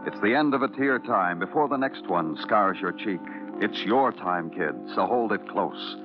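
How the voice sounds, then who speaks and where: Man acting a low-voiced, brooding noir detective, old radio. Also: music in the background, lightly acted. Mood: brooding, somber. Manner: brooding, clipped, poetic, deliberate phrasing. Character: hardboiled, world-weary male police detective narrating his beat. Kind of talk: 1940s-50s radio noir narration. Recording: narrow, muffled old radio recording.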